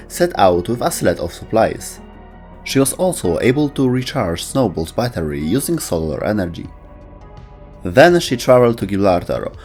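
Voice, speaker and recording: A man's voice: on the low side, darkish tone. A man reading scripted narration for a video about video game lore.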